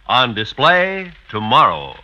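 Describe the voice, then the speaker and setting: Very bright, forceful male announcer. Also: medium pitch, wildly swooping pitch, slow. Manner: formal, emphatic. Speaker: radio announcer. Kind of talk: 1950s radio announcing. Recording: muffled vintage radio recording.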